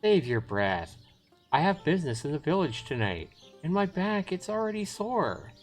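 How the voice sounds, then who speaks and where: A man's voice: on the high side, very animated, moderate pace, faint music behind, voice pushed up. A man voicing a curt, grumbling older village aunt in a visual novel, with a brusque manner. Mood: curt, weary. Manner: brusque, evasive. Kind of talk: visual novel character reading.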